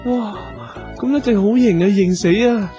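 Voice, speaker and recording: An actor with a Hong Kong Cantonese accent playing an excitable, emphatic magic student in a comic radio drama. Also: male voice, sits high, moderate pace, faint music behind, close to an everyday voice. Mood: excited. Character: excitable starry-eyed magic student and would-be show-off performer.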